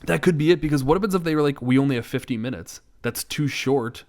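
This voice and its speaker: A man's voice: a little high, quick pace, a little mellow. A man co-hosting a podcast in casual, rambling, opinionated discussion with a joking, sarcastic streak. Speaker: podcast co-host. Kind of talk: casual pop culture discussion.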